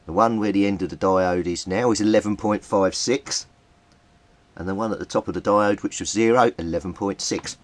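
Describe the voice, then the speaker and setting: Deep-voiced man muttering over a repair. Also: normal speed, no room sound. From Britain. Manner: muttering, thinking aloud while tinkering. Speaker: radio repair hobbyist. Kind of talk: casual repair commentary.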